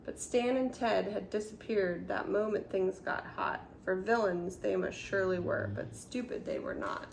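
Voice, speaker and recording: An older woman reading a rhyming children's storybook aloud at home, expressive, with murmured asides. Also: medium pitch, moderate pace, gravelly, few pauses, recorded from a distance. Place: room at home. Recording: roomy echo, faint background noise.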